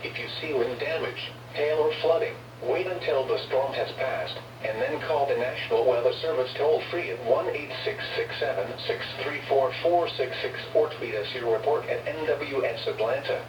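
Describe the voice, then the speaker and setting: A man's voice: medium pitch, normal speed, hardly any pauses, dull audio. A man with a formal, stilted, synthetic-sounding delivery reads a scripted emergency weather bulletin over a radio broadcast. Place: recorded off a radio receiver. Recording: noisy, echoey, distant weather radio reception.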